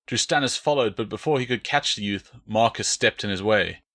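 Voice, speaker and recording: A man's voice: lowish voice, fairly quick, a bit bright. A man reading his own novel as a dramatic, scripted audiobook narration.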